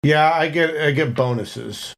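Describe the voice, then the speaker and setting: A man's voice: on the high side, a little slow, creaky, background noise. A man playing a tabletop game, chatting casually and hesitantly as he thinks aloud.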